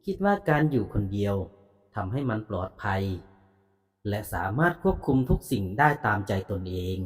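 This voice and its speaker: Guy reading bedtime fables, calm and preachy, voice goes up and down a lot, echoey reverb. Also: fairly low pitch, normal speed. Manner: calm, moralizing storytelling. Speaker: storyteller.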